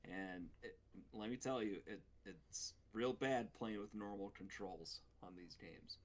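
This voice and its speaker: A man co-hosting a casual podcast, talking in a halting, deadpan way. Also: lowish voice. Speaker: podcast co-host.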